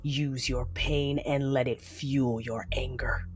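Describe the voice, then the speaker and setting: An actress playing a cold, commanding Sith lord in an audio drama, speaking in measured, menacing tones. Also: female voice, very deep voice, steady tone. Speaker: narrator and character. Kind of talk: dramatic first-person audio drama narration. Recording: music under the voice.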